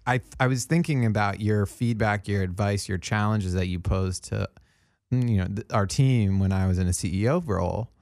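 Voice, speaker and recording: A man hosting a reflective, conversational interview, speaking in a thoughtful, deliberate, affirming way. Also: on the low side, vocal fry, darkish tone, dry recording, clean studio sound. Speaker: interviewer, host.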